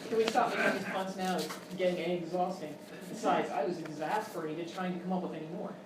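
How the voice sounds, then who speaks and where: A woman's voice: fairly low pitch, fairly quick. A woman co-hosting a live comedy show with scripted comic banter. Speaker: co-host. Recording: distant, roomy, noisy live stage recording.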